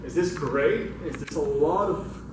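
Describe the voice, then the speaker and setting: A man from Belgium presents a workshop in an informal, conversational way. He is recorded far from the microphone in a very reverberant room. Clear background noise runs under his speech. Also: a little high, unhurried. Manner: conversational, engages the audience with questions. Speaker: workshop facilitator, speaker. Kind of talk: informal talk to a meetup audience. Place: indoor meetup room.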